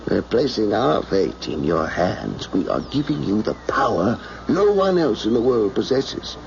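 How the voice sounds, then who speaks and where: An actor playing a weary, persuasive, pragmatic president burdened by duty in a radio drama. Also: male voice, lowish voice, big pitch swings, unhurried, vocal fry, darkish tone, heavily acted. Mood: grave, insistent. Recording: muffled old radio broadcast, faint noise.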